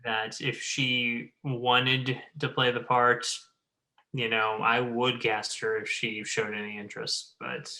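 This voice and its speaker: A man's voice: mid-range voice, level tone, takes it slowly, bright, forceful tone, rough recording. A man chatting casually on a panel, speaking emphatically and repeating phrases for stress.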